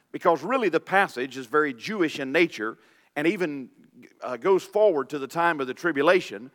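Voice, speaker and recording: A man preaching a sermon as pastor, his deep voice raspy and hoarse from illness, reading scripture with informal asides. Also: a bit bright. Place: church sanctuary. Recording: long reverb of a church hall.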